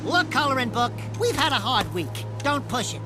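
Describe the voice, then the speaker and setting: Small mouthy critter sidekick: very high, swooping delivery, sarcastic bravado, cartoony game acting. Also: male voice, very animated, normal speed. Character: wisecracking, cowardly small orange furry animal sidekick, male. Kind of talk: animated video game voice acting. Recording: music behind the voice.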